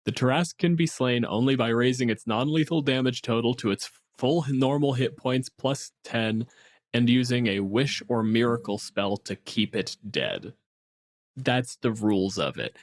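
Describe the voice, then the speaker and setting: A man co-hosting a casual tabletop game discussion, explaining things in an animated way and swearing freely. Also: medium pitch, moderate pace. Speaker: podcast co-host.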